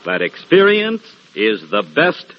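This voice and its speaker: A man's voice: fairly high pitch, wildly swooping pitch, takes it slowly. A man delivering a scripted 1940s radio advertisement as an emphatic, folksy announcer. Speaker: commercial announcer. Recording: muffled old 1940s radio recording.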